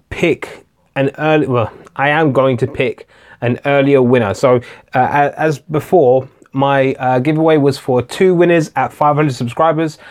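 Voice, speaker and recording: A man, speaking at an ordinary mid pitch, hosts a relaxed live-stream Q&A. He talks in a loose, hesitant way, full of fillers and repeated starts, as he answers viewers' comments one by one. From England. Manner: reads viewer comments aloud and answers them. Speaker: tech channel host.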